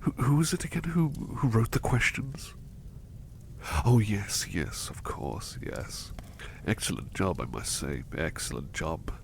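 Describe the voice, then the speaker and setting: Casting: male actor as devoted, bashful butler for ASMR roleplay; low, expressive voice, hushed and halting, eager to please. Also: vocal fry, a little hiss or hum, full character voice. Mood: polite, approving. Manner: halting, repeats phrases, effusively polite. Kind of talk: soft-spoken ASMR roleplay.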